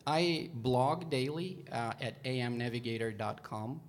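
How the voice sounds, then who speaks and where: A man's voice: medium pitch, a little slow, a little hiss or hum. A man whose first language is Russian, introducing himself on a conference panel with frequent hesitations. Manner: hesitant, many ums and uhs. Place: conference session room.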